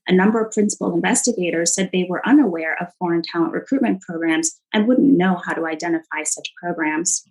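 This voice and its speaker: Female government witness, lower register, measured formal reading of prepared testimony. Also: darkish tone, poor audio.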